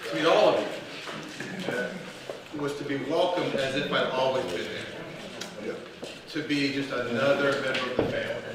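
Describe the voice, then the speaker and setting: A man giving an informal, joking memorial speech as a grandson at a family gathering. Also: medium pitch. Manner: friendly, self-aware, joking asides. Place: restaurant dining room. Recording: echoey room, distant mic, loud noise.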